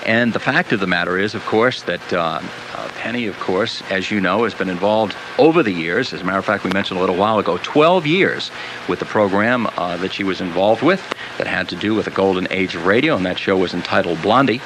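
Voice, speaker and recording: A man hosting a live radio interview, speaking in wordy, halting, formal phrases. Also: deep voice, lively intonation. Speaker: radio host. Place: radio studio. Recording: noisy 1983 radio taped on cassette. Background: clear background noise.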